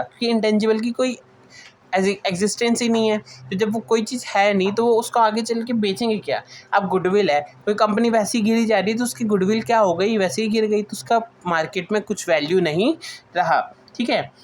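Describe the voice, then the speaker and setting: A woman's voice: medium pitch, a little hiss or hum. A woman with an Indian accent giving an informal lecture, explaining notes point by point and restating them as she mixes in English terms. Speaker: teacher.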